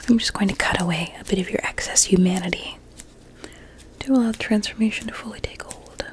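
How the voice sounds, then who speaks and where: A woman playing a vain, sinister blueberry doctor in an ASMR medical roleplay, speaking in a soft breathy whisper with soothing menace. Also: medium pitch, brisk, close to an everyday voice. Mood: softly menacing.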